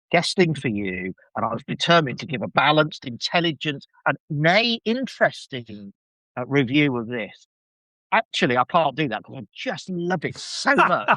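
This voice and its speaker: A middle-aged man guesting on a podcast, chatting casually in an enthusiastic, halting, emphatic way. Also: on the high side, pushed and bright, no echo, low-quality recording. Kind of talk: casual chat.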